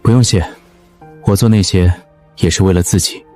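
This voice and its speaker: A man playing a cool, calculating young survivor in an animated web drama, terse and detached. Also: sits low, normal speed, lightly acted. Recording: background music under dialogue.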